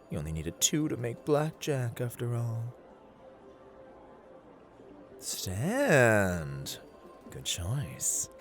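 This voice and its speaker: Low, theatrical man acting as a teasing trickster god. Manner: theatrical, teasing, deliberate, dropping into hushed murmurs. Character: playful, taunting male god of luck, smooth-talking card dealer. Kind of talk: ASMR roleplay acting.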